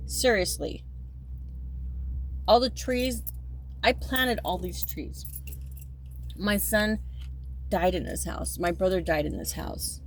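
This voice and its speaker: A woman's voice: medium pitch, takes it slowly, long pauses, a little hiss or hum, low-quality recording. A woman in her fifties talking in a casual, rambling monologue, often repeating and restarting her sentences, and at times turning emphatic and indignant. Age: 50s.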